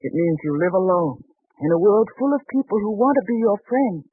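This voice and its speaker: An actress playing a patient, earnest wife in a 1940s radio drama, speaking with gentle reproach. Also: female voice, mid-range voice, expressive, brisk, slightly rough. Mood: calm, knowing. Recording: thin, muffled 1940s radio recording.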